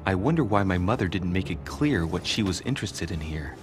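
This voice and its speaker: A man acting an eager, inquisitive young gentleman investigator in a video game cutscene, with an earnest, teasing, expressive delivery. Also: deep voice, brisk, over music. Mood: intrigued.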